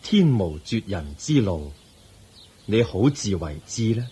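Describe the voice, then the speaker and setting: An actor playing a calm, wise Buddha in a radio drama, giving measured counsel in a Hong Kong Cantonese accent. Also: male voice, fairly low pitch, slight background noise. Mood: serene, admonishing. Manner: measured, admonishing. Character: calm, wise, kindly Buddha, serene teacher.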